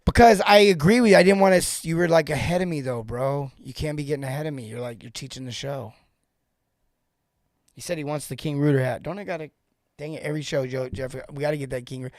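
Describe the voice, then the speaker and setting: A man in his forties hosting a casual live-stream coaching talk, joking and rambling off into asides with informal, animated banter. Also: fairly high pitch, dry recording, studio quality. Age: 40s. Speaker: host and sales coach for plumbers.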